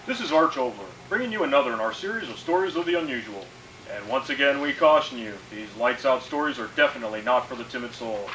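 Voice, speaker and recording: A man's voice: medium pitch, animated, fast, natural voice. A man playing a grave host of an old-time horror radio show, giving solemn warnings. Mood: ominous. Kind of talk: 1940s radio horror introduction. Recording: echoey distant stage recording, faint noise.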